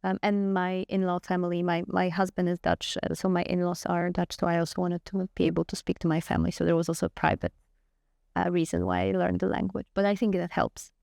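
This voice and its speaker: A woman's voice: on the low side, fairly quick, vocal fry, darkish tone, no echo, studio quality. A middle-aged woman from Poland with a Polish accent, speaking earnestly and frankly as an interview guest, often restarting her sentences. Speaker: guest scientist. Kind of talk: conversational interview answers.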